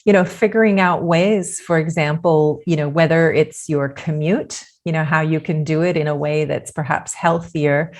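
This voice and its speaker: Woman, low voice, measured podcast guest, frequent fillers. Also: steady tone, a little mellow. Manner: thoughtful, measured, many "you know" fillers. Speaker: author interviewed as guest. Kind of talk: podcast interview.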